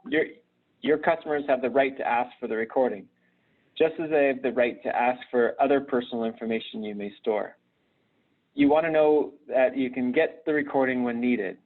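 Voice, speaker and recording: A man gives an instructional live webinar presentation, explaining things conversationally and haltingly, often hesitating and repeating words. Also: mid-range voice. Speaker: presenter at a virtual conference. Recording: narrow, phone-like online call audio.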